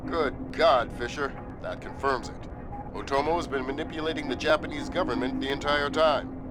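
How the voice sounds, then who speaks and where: A man playing an authoritative American intelligence handler in a video game, brisk and serious. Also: medium pitch, fairly even intonation, loud music behind the voice, natural voice. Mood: grave. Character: authoritative male American intelligence handler giving mission guidance. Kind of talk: video game radio dialogue.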